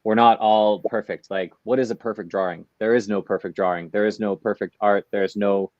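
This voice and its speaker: A man's voice: lowish voice, fairly even intonation, a little fast, no room sound. A man from the United States, a guest in a podcast interview, talking in a reflective, easygoing way. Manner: reflective, easygoing, laughs often. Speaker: guest, artist and entrepreneur. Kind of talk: interview conversation. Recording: dull, dry lo-fi remote audio.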